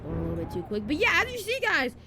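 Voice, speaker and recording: A woman's voice: high voice, wildly swooping pitch, very fast, heavy background noise. A woman giving casual, informal gameplay commentary, talking directly to viewers as she walks through features. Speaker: video game streamer and presenter.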